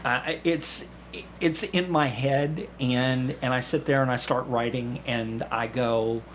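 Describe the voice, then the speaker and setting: A man chats casually as a guest, telling stories in a halting way and repeating himself as he goes. His voice is mid-pitched, with some noise in the background. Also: steady tone. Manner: halting storytelling with repeated phrases and acted-out asides. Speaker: podcast guest, amateur actor. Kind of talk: casual anecdotal conversation. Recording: clearly audible background room noise.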